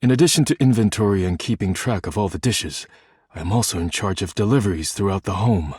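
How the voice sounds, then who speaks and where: An actor voicing a stern, proud, duty-bound living dishware caretaker in a video game, with a formal, clipped, solemn delivery and a husky voice. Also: male voice, fairly low pitch, fast, a little mellow, no echo, clean studio sound. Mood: hurt, cold. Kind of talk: video game character voice lines.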